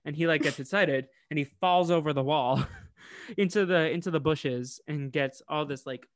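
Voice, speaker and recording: Guy on a podcast recapping a cartoon, kinda high voice, laughs a lot, dry close mic. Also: normal speed, dry recording. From United States. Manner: animated storyteller, laughs often. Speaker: podcast co-host. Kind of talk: casual recap chat.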